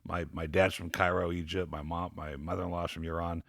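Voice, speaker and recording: Podcast host, middle-aged man, dry studio mic, low-ish voice, energetic and loose. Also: a little fast, creaky, no echo. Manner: upbeat, rambling, many restarts. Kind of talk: casual interview chat.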